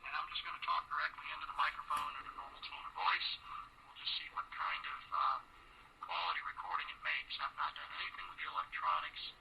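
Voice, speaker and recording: A man's voice: deep voice, animated, fast talker, pushed and bright, breathy edge. A man casually explaining a demonstration, heard played back from an old dictation cassette recorder. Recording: noisy, crackly vintage tape recorder playback.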